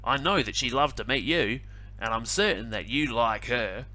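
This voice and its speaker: A man's voice: mid-range voice, animated, moderate pace, sharp tone, low-quality recording. A man voicing a plucky, clever young female duck in a picture-book reading, sweet and sing-song. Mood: persuasive.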